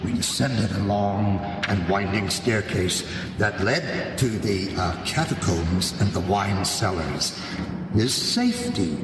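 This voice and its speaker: Slow, scheming gothic nobleman, cavernous echo. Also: fairly low pitch, animated. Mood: sly, measured narration. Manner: deliberate, ornate, falsely solicitous. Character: smiling, scheming nobleman narrating his revenge, feigning courteous concern. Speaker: narrator and lead actor. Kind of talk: theatrical gothic radio drama before a live audience. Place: large hall, live stage performance. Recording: echoey cavernous hall, distant, noisy live recording.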